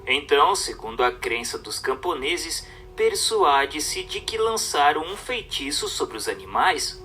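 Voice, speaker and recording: A man with a Brazilian accent reads a religious book as scripted narration, in measured, declamatory phrasing. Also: on the high side, sing-song, sharp tone, recorded from a distance, soft background music.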